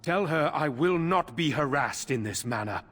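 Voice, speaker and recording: An actor voicing a haughty, grumpy mage in a fantasy video game, curt, indignant and formal. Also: male voice, a little high, expressive, moderate pace, a bit bright, husky. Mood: irritated, disdainful.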